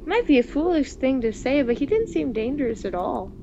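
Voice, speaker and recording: Woman, playful gaming host reading game text and chatting, high voice, slightly noisy dull recording. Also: big pitch swings, brisk. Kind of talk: reading visual novel narration aloud with casual chat. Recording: muffled, noisy home microphone.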